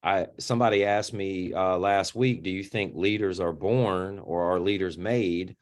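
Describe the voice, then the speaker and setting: A middle-aged man with a deep voice, speaking as an interview guest in an earnest, reflective way and often repeating words as he starts a sentence. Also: steady tone, a little slow. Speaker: interview guest, youth organization founder.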